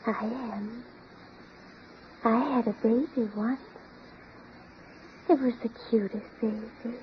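Slow, high-voiced wistful farm wife. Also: female voice, fairly even intonation. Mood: dreamy, wistful. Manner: dreamy, wistful delivery. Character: childlike, wistful farm wife grieving a baby. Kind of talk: 1930s radio drama acting. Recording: muffled, noisy 1930s radio recording.

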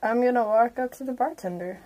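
A woman's voice: fairly high pitch, brisk, a little mellow, rough recording. A woman playing a tabletop game, casually describing what her character does. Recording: group chatter around the voice.

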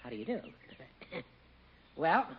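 An actor playing a pompous, meddling older American judge, teasing and self-satisfied, in a 1950s radio sitcom. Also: male voice, a little high, very animated, takes it slowly. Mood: pompous, pleased. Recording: very noisy, muffled old radio recording.

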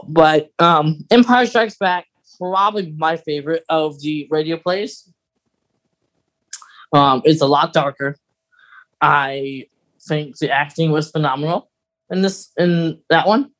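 A man co-hosting a podcast in casual chat, measured and thinking aloud as he goes. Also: a little high, poor audio. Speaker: podcast co-host.

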